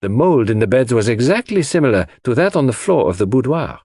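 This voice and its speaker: Man voicing a shrewd, courteous detective, lively pitch. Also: a little fast, slightly rough, no echo. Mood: confident, explanatory. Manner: formal, precise, explanatory. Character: shrewd, courteous male foreign detective, fond of theories. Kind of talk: audiobook character dialogue.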